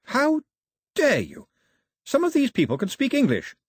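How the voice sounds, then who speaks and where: A man's voice: high-pitched, big pitch swings, brisk, breathy edge, no room sound, studio quality, voice pushed up, full character voice. A man voicing a spirited, defiant upper-class girl in an audiobook, pointed and plucky. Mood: indignant. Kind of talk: audiobook character voice.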